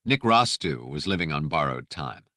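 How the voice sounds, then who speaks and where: A man reading a scripted audiobook story with measured, precise, dramatic narration. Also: rumbling low, big pitch swings, bright, forceful tone, no room sound, clean studio sound.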